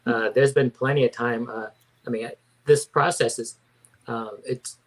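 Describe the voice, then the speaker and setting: A man in his fifties, a comic book artist being interviewed, speaking hesitantly with many pauses and restarts. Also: mid-range voice, fairly even intonation, poor audio. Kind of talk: casual interview.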